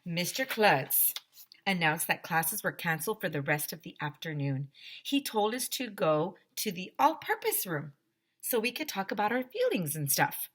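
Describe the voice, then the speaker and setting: Lady teacher reading a kids book out loud, bright punchy voice, animated and emphatic. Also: on the low side, moderate pace, husky. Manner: animated, emphatic, talks directly to young listeners. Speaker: teacher reading aloud to children. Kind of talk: expressive children's storybook read-aloud.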